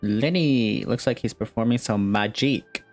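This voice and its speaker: Very low-voiced woman game streamer. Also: a little slow, music in the background. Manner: slangy, teasing asides. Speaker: game streamer reacting to a story quest. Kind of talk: casual gaming commentary.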